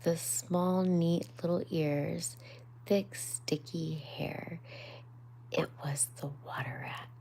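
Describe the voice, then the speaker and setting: A woman reading a bedtime story aloud in a soft, hushed voice that drops almost to a whisper, speaking up for the characters' lines. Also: on the low side, takes it slowly, creaky, a little hiss or hum. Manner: soft, hushed narration, often near a whisper. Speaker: storybook reader on a live stream.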